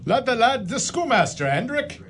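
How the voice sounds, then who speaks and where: A man's voice: extremely high pitch, very animated, a bit bright, heavily acted. An actor playing a genial, wealthy older landowner who welcomes guests at his feast in a community radio drama. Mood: hearty. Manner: hearty, measured, welcoming. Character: genial wealthy older male Dutch landowner, host father.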